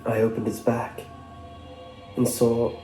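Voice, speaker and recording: A man's voice: medium pitch, monotone, slow pace, dark tone. Male horror narrator over music. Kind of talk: scripted first-person horror narration. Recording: echoey, clear music behind.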